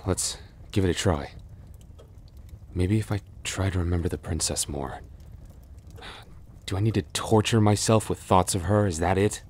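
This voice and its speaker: A man playing a cursed, lovelorn young prince in a roleplay audio drama, halting and formal in his speech. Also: deep voice, a little hiss or hum. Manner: halting, breathy sighs and gasps, formal archaic phrasing.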